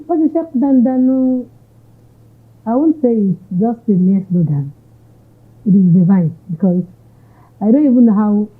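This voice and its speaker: A Nigerian businesswoman talks through her story in an interview, easily slipping Yoruba phrases into her English. Her voice is husky and of middling pitch. The recording sounds thin and phone-like. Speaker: entrepreneur, interviewee. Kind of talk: conversational interview answers. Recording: tinny narrow-band audio.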